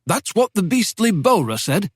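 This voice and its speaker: A man playing a lively old English professor. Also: high-pitched, moderate pace, husky, no room sound, studio quality, voice pushed up, exaggerated voice. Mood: genial. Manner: lively, playful, precise diction. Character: genial older male Oxford professor, witty and talkative.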